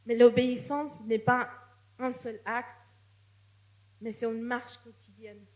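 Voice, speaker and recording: A woman preaches in a church, and her voice sits a little high. She speaks in an earnest, personal tone and often restarts a phrase before going on. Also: little pitch movement, takes it slowly, darkish tone. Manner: earnest, testimonial, with frequent restarts. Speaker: guest preacher. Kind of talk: sermon.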